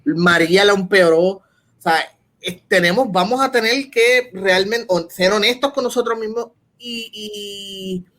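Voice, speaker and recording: Give this a Puerto Rican man's voice, quite high in pitch. He co-hosts a casual discussion show and argues emphatically, often throwing out rhetorical questions to make his point. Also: unhurried, a bit bright. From Puerto Rico. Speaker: podcast co-host.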